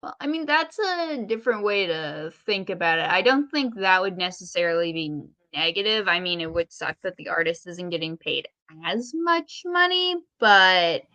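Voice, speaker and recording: Bright, crisp-edged woman, lower-pitched, thinking aloud. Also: very animated, normal speed, hardly any pauses, no echo, lo-fi sound. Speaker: podcast co-host. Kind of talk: casual podcast discussion.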